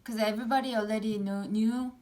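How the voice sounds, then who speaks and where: A woman's voice: a little high, steady tone, darkish tone. Hesitant Korean-accented young woman. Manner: hesitant, halting, frequent fillers and restarts. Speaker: interviewee. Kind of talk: casual interview answers.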